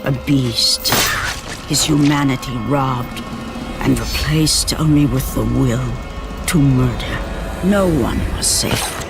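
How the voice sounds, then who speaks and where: A man's voice: medium pitch, slow pace, gravelly, over loud music. A man narrating a video game cutscene in a solemn, grave, scripted storytelling tone. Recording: game cutscene with very loud score.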